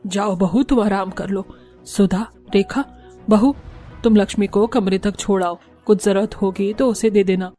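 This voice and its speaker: A woman's voice: medium pitch, fairly even intonation, unhurried, dark tone, faint music behind, close to an everyday voice. A woman voicing a kindly middle-aged mother-in-law in a Hindi family cartoon, affectionate and matronly. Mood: welcoming. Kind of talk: Hindi moral-story cartoon dubbing.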